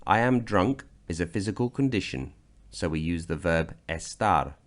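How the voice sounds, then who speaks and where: A man from Britain narrating a slideshow grammar lesson, explaining slowly and deliberately. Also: sits low, expressive. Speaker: language teacher.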